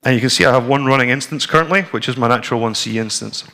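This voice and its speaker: A Scottish man in his forties presents at a tech conference, talking the room through slides and a live demo. His delivery is relaxed and conversational, with plenty of ums and restarts. He speaks at a middle pitch in a plain, easygoing way. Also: rapid-fire. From Scotland. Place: conference room with a screen and audience.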